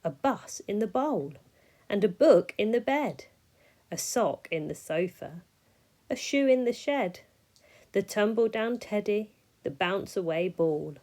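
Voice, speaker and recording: A woman reads a rhyming children's storybook aloud. Her pace is slow and measured, and her pitch sits in the middle. The delivery is expressive, with softer dips for effect. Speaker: storybook reader.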